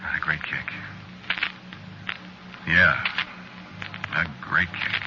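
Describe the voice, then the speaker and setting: A man's voice: rumbling low, level tone, very slow, dull audio, exaggerated voice. An American actor playing a guilty, brooding murderer who tells his own story in a colloquial, hesitant way in an old-time radio suspense play. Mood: wry, brooding. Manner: colloquial, hesitant, broken-up musing delivery. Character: guilty murderer narrating his crime, brooding, uneasy ordinary fella. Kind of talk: old-time radio suspense acting, first-person confessional narration. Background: loud music.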